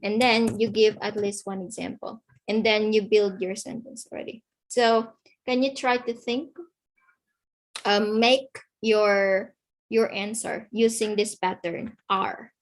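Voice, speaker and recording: Woman, online teacher, slow and deliberate, middle pitch, repeats key words. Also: fairly even intonation, poor audio. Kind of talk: online English lesson.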